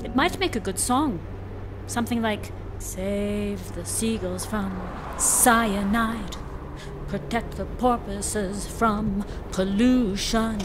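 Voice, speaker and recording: A woman's voice: mid-range voice, slow, music in the background, close to an everyday voice. An actress playing a chatty, tender-hearted woman on a camping road trip in a radio drama. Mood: pitying, musing.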